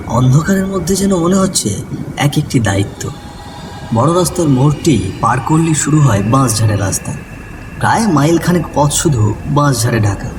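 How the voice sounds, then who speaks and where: A man with a medium-pitched voice narrates a scripted ghost story with dramatic suspense. He sounds somewhat distant from the microphone. Audible ambient noise runs behind his storytelling. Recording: distant voice over noisy ambience.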